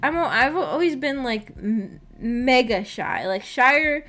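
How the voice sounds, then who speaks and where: A young woman with a fairly high voice talks casually to a live chat. She rambles and hesitates as she works out her thoughts, in a self-effacing, giggly manner. Soft background music plays underneath. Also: animated, takes it slowly. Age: young adult. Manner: hesitant, rambling, thinking aloud. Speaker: streamer reading and answering live chat.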